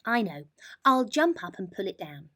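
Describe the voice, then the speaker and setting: A woman's voice: mid-range voice, sing-song, moderate pace, pushed and bright. A woman voicing an eager, naive young male mole in a children's storybook. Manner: eager, wide-eyed exclaiming. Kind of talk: children's storybook character reading.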